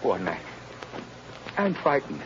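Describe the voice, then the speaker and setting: A man's voice: mid-range voice, huge pitch swings, unhurried, background noise. An actor playing a terrified young male prisoner, stammering desperate pleas in a 1930s radio drama. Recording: dull old radio recording, heard from afar.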